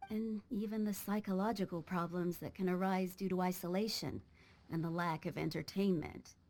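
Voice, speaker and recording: A voice actress playing an earnest, professional female ship's surgeon in a video game, explaining things in a measured, cautioning way. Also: mid-range voice, warm tone, close to an everyday voice. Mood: grave, cautioning. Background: background music.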